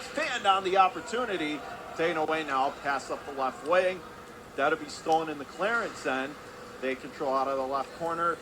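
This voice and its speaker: A man's voice: on the high side, noisy background. A man doing live radio play-by-play of ice hockey in clipped running phrases from an indoor arena.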